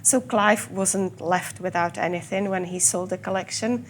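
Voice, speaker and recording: A woman from the Czech Republic with a Czech accent and a slight Scottish tinge, guiding a tour of a whisky collection and explaining it patiently, with small pauses. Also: lowish voice, slight background noise. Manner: fluent, with filler pauses and slow stressed words. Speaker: whisky guide. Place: whisky collection room, indoors.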